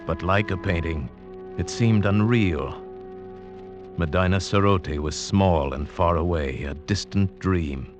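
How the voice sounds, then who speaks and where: An actor playing an anguished, awestruck mountaineer, telling his ordeal in a poetic, measured, intense 1940s radio drama style. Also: low-pitched. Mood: awed, weary. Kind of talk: 1940s radio drama acting, first-person narration. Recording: dull vintage radio recording with music. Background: dramatic orchestral score.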